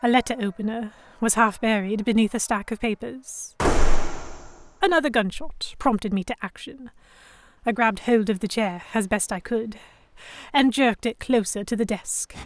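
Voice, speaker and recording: A woman playing an anxious, indignant young captive who narrates her ordeal in expressive, first-person storytelling for an audio drama. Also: fairly high pitch, slight background noise, lightly acted.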